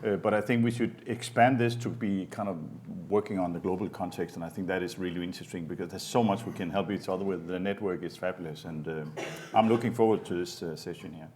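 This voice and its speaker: A man from Denmark speaks with a very deep voice, eager and full of small stammering restarts. He introduces himself to a panel audience. A faint room hum sits underneath. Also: steady tone, fast, darkish tone. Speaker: energy academy director.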